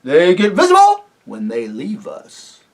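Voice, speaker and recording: Boisterous and bellowing, this rough invisible chief as a reader puts him on booms his threats in broken rustic grammar. The voice leaps up high and swings all over. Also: huge pitch swings, unhurried. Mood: blustering. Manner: loud, blustering, rustic grammar.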